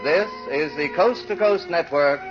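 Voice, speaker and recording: A man's voice: fairly high pitch, measured pace, over loud music. A man working as a radio announcer, giving a scripted sign-off in 1940s broadcast style. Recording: muffled vintage radio broadcast.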